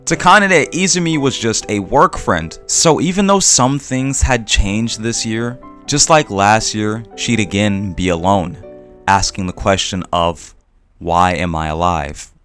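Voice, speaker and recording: Low-voiced man narrating a reflective video essay. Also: a little slow, fairly bright tone. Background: background music.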